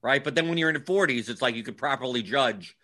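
A man's voice: mid-range voice, lively intonation, fast talker, pushed and bright. A man in his forties hosting a casual livestream chat, wry and grumbling, often repeating himself. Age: 40s. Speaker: livestream host.

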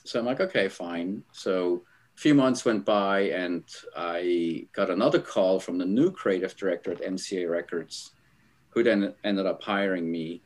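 Rambling, lower-voiced Swiss-born man. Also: normal speed. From Switzerland. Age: middle-aged. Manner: rambling, filler-heavy, laughs while telling stories. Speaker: guest, album art director. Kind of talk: casual interview storytelling.

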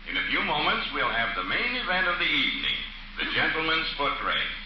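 An actor playing a formal master of ceremonies, declaiming ceremoniously in 1940s radio comedy style. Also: male voice, fairly high pitch, wildly swooping pitch, a little fast. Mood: officious. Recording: echoey hall, muffled noisy old radio recording.

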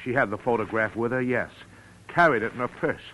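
An actor playing a wronged, quietly vengeful man in a 1940s radio drama, speaking in a deliberate, halting way. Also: mid-range voice, natural voice. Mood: resolved. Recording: noisy vintage radio drama recording.

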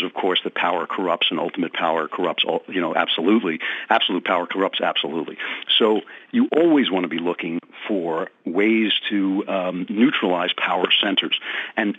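A man answering interview questions earnestly, with many fillers and restarts. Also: sits low. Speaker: guest commentator. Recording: thin phone-like remote line.

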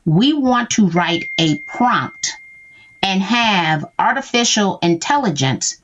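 A woman's voice: medium pitch, lively intonation, slow pace, fairly bright tone, dull audio, slight background noise. A woman guest talking through how she uses tools in her business, checking in often with "right?". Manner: explains with frequent "right?" check-ins. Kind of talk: conversational interview.

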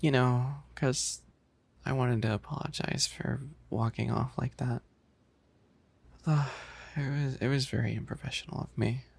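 A man's voice: medium pitch, steady tone, a little slow, vocal fry, darkish tone, breathy edge, dry recording. A man voicing a bashful, fussy young animal-boy maid café server in an ASMR roleplay, stammering and pouty. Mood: apologetic.

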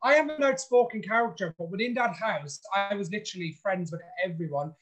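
A man's voice: high-pitched, brisk, fairly bright tone, rough recording. A man, a reality show contestant, chatting in an interview in an outspoken, blunt way, swearing freely. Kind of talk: casual interview chat.

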